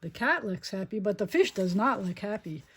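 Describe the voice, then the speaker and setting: Husky-edged woman reading a children's storybook. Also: mid-range voice, normal speed. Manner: expressive, asks listeners questions. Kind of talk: children's storybook read-aloud with chatty asides.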